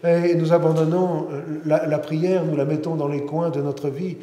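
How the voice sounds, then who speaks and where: A man's voice: a little high, little pitch movement, normal speed, mellow. A middle-aged man, a priest giving a sermon, speaking earnestly and often restarting and repeating his words. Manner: earnest, many restarts and repetitions. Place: large church interior. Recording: roomy church with long reverb.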